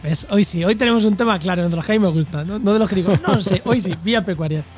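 A man's voice: high-pitched, very fast, over music. A man from La Mancha in Spain, hosting a podcast in a casual, chatty style. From Albacete, La Mancha, Spain. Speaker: podcast host.

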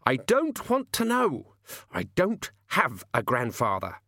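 A man's voice: huge pitch swings, takes it slowly, vocal fry, sharp tone, no room sound, clean studio sound, heavily acted. A man voicing a cool, brusque young woman in a lifted voice. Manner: clipped and cold, lifted pitch. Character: cold, flustered young woman.

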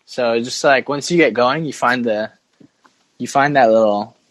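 A man's voice: medium pitch, normal speed, dry recording. A man hosting a casual sports podcast with jokey, slangy banter. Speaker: podcast host.

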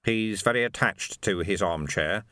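A man's voice: mid-range voice, animated, measured pace, fairly bright tone, no room sound. Guy doing a nervous henpecked husband in an audiobook, mumbly, hesitant, sorry for himself, dry sound. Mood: apologetic murmur. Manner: hesitant, murmuring, sighing. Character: timid, henpecked middle-aged husband, anxious and apologetic. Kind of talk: audiobook character voice.

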